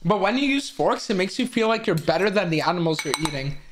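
A man's voice: sits high, expressive, fast talker, noisy background. Young man joking around in a kitchen. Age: young adult. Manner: teasing, joking banter. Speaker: streamer. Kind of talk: casual stream chat. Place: home kitchen, indoors.

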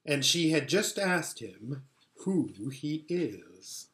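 A middle-aged man reading a storybook aloud in a measured, expressive way. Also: on the high side. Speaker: pastor reading books aloud. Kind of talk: storybook read-aloud narration. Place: furnished living room indoors. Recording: roomy home webcam sound.

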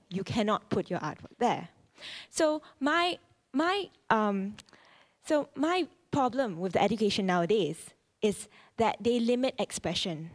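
A young adult woman from Malaysia, a student, speaking to a public forum from a panel. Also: medium pitch. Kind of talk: prepared remarks at a public forum. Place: lecture hall stage, seated on a panel. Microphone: handheld microphone.

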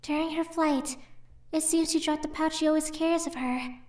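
A woman's voice: high-pitched, fairly even intonation, a little mellow, reverberant, lightly acted. A woman voicing a sweet, earnest, easily startled schoolgirl in a horror game fan dub, with a girlish voice. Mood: anxious, narrating. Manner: earnest, halting when nervous. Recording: music behind the voice.

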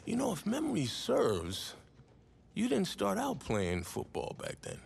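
An actor playing a genial, teasing TV interviewer and old friend, chummy and informal, in a video game. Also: male voice, high-pitched, wildly swooping pitch, unhurried, creaky, slight background noise, full character voice. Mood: amused, teasing. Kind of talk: cinematic video game dialogue.

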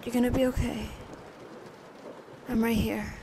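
A woman playing a gentle, protective big sister comforting a child to sleep in a soothing, hushed ASMR roleplay, close to the microphone. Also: on the high side, level tone, measured pace, noisy background. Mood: soothing, tender.

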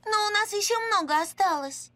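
A woman's voice: very high-pitched, brisk, sharp tone. A woman voicing an earnest, direct little girl in a cartoon dub. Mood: eager.

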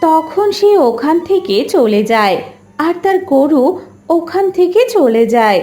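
A woman's voice: high voice, big pitch swings, unhurried, warm tone. A woman narrating a scripted children's story.

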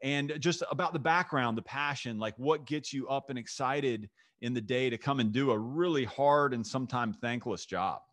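A man's voice: medium pitch, normal speed, few pauses, a bit bright, dry recording. A man in his thirties from North Carolina, hosting a video-call interview in an eager, effusive way, often repeating words. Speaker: podcast host. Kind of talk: enthusiastic interview chat. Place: home office with bookshelves. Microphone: studio mic on boom arm, video call.

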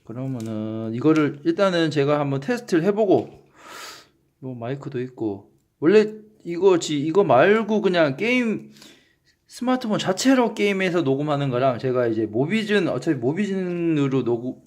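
A man's voice: fairly high pitch, a little mellow. A man with a Gyeongsang accent hosting a casual unboxing vlog, joking at his own expense and tripping over his words. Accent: Gyeongsang Korean. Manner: joking, self-mocking, trips over words. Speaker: channel host.

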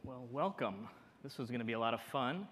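Male moderator, quick-paced and casual, echoing stage. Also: mid-range voice, animated, a bit bright. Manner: brisk, casual. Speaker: moderator, journalist. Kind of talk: panel moderation. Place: stage in an event hall.

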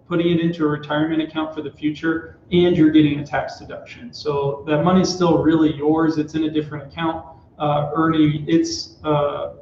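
A man in his thirties walks through tax rules in a measured, even way, his voice on the high side and quite flat. He sounds picked up in a small office room, with a roomy, dull quality to the sound. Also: slight background noise. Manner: steady, explanatory, with filler "uh"s. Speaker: tax expert guest. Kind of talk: explaining tax rules in a live company broadcast.

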